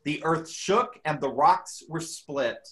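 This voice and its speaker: A woman giving a dramatic scripture reading in a measured, deliberate manner. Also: low-pitched. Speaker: scripture reader.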